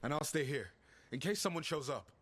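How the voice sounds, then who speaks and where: A man's voice: on the high side, lively intonation, fairly quick, fairly bright tone. A man playing an earnest scout asking for help in video game dialogue. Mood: earnest, concerned.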